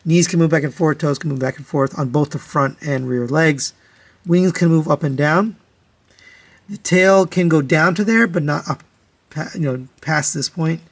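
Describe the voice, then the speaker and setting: Male product reviewer, voice a bit on the high side, matter-of-fact and conversational, walking through comparisons step by step. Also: normal speed. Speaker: toy reviewer, host.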